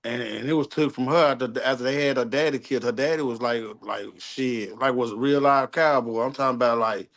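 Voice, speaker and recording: Male conversational storyteller, average pitch, loose slangy delivery, swears often, repeats phrases for emphasis. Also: a little fast, few pauses, fairly bright tone. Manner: street slang, profane, repetitive, seeks agreement. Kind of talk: casual chat, storytelling.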